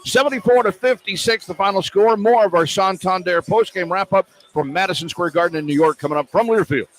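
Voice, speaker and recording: A man's voice: high-pitched, fairly quick, low-quality recording. A man doing radio sports commentary as a play-by-play announcer. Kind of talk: sports commentary and post-game wrap-up.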